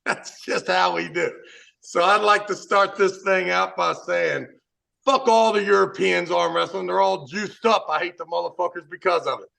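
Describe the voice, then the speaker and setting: A man's voice: high-pitched, creaky, sharp tone. A man hosting a podcast in a brash, profane, showman-like way. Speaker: podcast host. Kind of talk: casual podcast banter.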